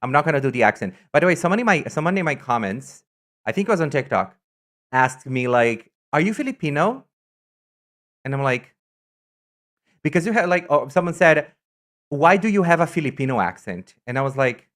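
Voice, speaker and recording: A man's voice: mid-range voice, a little fast, pauses often. Campy, chatty male streamer from Brazil. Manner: chatty, campy and excitable, trails off into asides. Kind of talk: casual live-stream chat.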